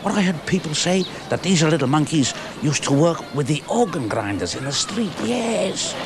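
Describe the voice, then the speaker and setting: Cheeky old male llama, played by a man, gossipy, broken English, gravelly, on a noisy kids' TV track. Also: on the high side, sing-song, normal speed. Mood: chatty. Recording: noisy recording.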